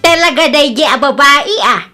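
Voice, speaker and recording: A woman's voice: high voice, expressive, normal speed, sharp tone, soft background music. A woman playing an angry, meddling mother-in-law in a radio drama, scolding and indignant. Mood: outraged. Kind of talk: Ilocano radio drama acting.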